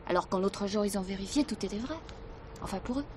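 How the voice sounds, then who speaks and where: A woman's voice: mid-range voice, fast, slight background noise, lightly acted. An actress playing a naive sixteen-year-old runaway girl in a radio drama, reserved and hesitant. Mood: confiding. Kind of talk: French radio drama acting.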